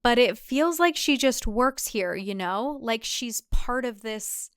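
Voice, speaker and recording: Woman as uneasy, frustrated heroine, clear voice. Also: a little high, animated, slow pace, no room sound, studio quality. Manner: uneasy, tense protesting. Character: worried, frustrated corporate woman trapped among cultists.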